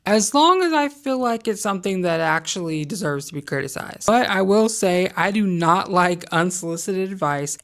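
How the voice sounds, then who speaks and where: A young woman talking candidly to camera in a conversational vlog, sharing opinions with frequent hedges. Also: low voice. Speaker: vlogger. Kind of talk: casual talking-head vlog.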